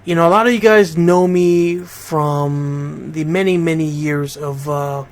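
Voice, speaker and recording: Middle aged american guy, radio dj host, voice a bit on the high side, just talking straight to the listeners. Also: steady tone, measured pace. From Bridgeport, Connecticut, United States. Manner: conversational, addresses listeners directly. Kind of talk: informal radio monologue.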